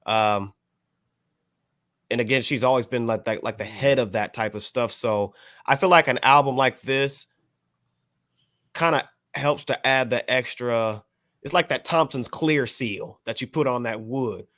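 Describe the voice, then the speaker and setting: A man's voice: medium pitch, measured pace, a bit bright, no room sound, studio quality. An American man giving casual album commentary as a panelist, rambling and leaning on "you know". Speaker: podcast panelist.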